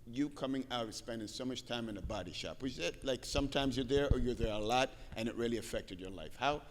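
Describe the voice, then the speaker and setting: A man's voice: mid-range voice, fast talker. A man moderating a casual live interview in an informal, affirming way. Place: indoor gallery talk, reverberant room. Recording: echoey hall with long reverb.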